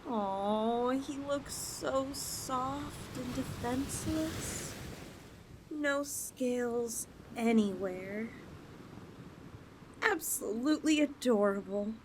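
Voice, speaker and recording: A woman's voice: on the high side, drawn-out words, background noise. A woman playing a possessive, teasing snake girl in an ASMR audio roleplay, with a coy, cooing delivery. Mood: gloating, possessive, then cooing, fascinated. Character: possessive, teasing young yandere lamia girl, half snake.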